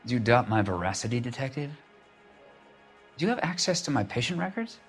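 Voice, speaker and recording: An actor playing an evasive, haughty doctor, speaking in a hesitant, formal, guarded manner in a video game drama. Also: male voice, medium pitch, sing-song, fairly quick, faint music behind. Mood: indignant. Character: evasive, haughty male doctor under police questioning.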